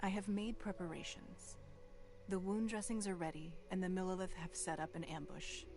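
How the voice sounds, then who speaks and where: A woman voicing a poised, commanding noblewoman ruler in a video game, speaking in a measured, formal way. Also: lowish voice, flat delivery, music in the background, lightly acted. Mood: composed. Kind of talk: game voice acting.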